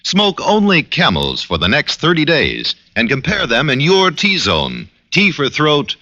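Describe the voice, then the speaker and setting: Commercial announcer, man: emphatic vintage radio read, lively swooping pitch, dull band. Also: measured pace, no echo. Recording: muffled old radio recording.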